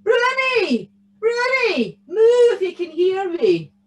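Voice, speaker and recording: Storybook farm boy, acted by a woman: Scottish, high voice, earnest, devoted, projecting loudly. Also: wildly swooping pitch, slow, heavily acted. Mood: urgent calling. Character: stubborn, devoted young farm boy seeking his cow.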